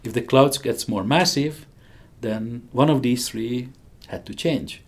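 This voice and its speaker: An older man with a Hungarian accent answers interview questions with eager enthusiasm. His voice has a gravelly, creaky edge at a middle pitch. He chuckles often and stumbles into restarts as he talks. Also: a little slow. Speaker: physicist interviewee. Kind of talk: conversational interview.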